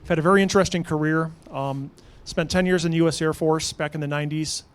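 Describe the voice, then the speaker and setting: A man introducing himself on a conference panel in a brisk, matter-of-fact way. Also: a little high, fairly even intonation, smooth. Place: conference hall stage.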